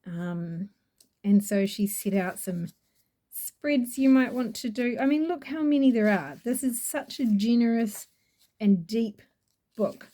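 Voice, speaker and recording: A woman's voice: on the high side, lively intonation, a little slow, warm tone. A woman with a New Zealand accent reviewing an oracle deck in a chatty, gushing way, rambling with fillers. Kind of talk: casual product review.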